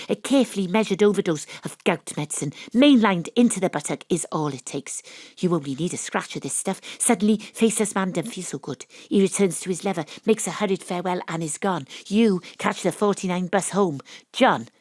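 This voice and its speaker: A woman acting in an audio drama as a shrewd, theatrical amateur detective who narrates and probes deliberately. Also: fairly low pitch, darkish tone, no room sound, lightly acted. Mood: triumphant deducing. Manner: deliberate, probing, storytelling. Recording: dry, close home-studio recording.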